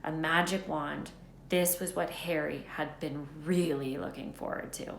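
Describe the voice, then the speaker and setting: Lower-voiced woman reading aloud, echoey room. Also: steady tone, measured pace, vocal fry, a little hiss or hum. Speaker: read-aloud storyteller. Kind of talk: scripted children's book narration.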